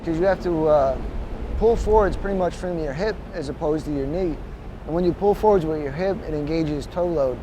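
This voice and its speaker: A man's voice: a little high, fairly quick, noisy background. A man, a soldier, giving an unscripted interview account in a matter-of-fact way.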